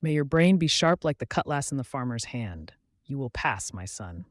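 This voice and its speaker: A man voicing a calm, weary, kindly blind beggar in a folktale, pleading and giving blessings. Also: mid-range voice, moderate pace, no room sound, studio quality. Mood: warm blessing.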